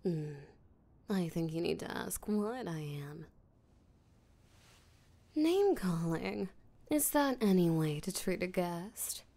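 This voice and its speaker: Slow, creaky, seductive vampire voiced by a woman. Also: lowish voice, big pitch swings, warm tone, full character voice. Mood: playful menace. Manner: breathy, teasing, flirtatious, slow and deliberate. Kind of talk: intimate roleplay audio drama, spoken to the listener.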